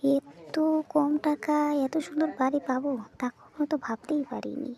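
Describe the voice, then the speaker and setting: A woman voicing a homely, excitable wife in a horror cartoon, with an expressive, coaxing way of talking. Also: sits high, moderate pace, creaky. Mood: delighted. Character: excitable, homely young wife in a new house.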